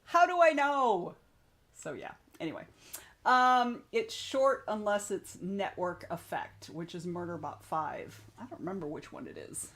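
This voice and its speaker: A woman's voice: mid-range voice, lively intonation, measured pace, a bit bright. A young adult woman hosting a writing stream, chatting casually and reading out viewer comments, rambling and frank, with some swearing.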